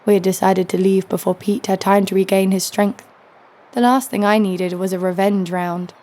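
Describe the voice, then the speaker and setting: A woman's voice: medium pitch, fairly even intonation, normal speed, mellow, lightly acted. An actress playing a tough young woman fighter, narrating her own story in an audio drama with dry defiance. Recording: clear background noise and ambience.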